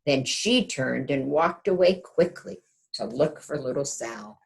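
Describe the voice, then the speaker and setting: A woman reading a storybook aloud to young children in an expressive teacher's manner, pausing to check in with the listeners. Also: extremely low pitch, vocal fry.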